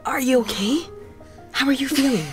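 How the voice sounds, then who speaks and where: A woman's voice: sits high, normal speed, faint music behind, lightly acted. A woman playing a caring, anxious scientist mother, firm but supportive, in an audio drama. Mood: anxious relief. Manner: expressive, concerned.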